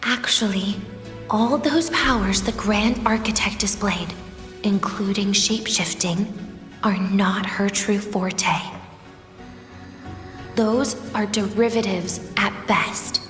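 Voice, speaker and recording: An actress playing a wistful young student in a game drama, bitter yet tender, speaking softly and lingeringly, at times slipping into a whisper. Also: female voice, medium pitch, steady tone. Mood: serious, confiding. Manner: soft, slow, lingering, at times breaking into whispers. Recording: echoey long reverb with music behind.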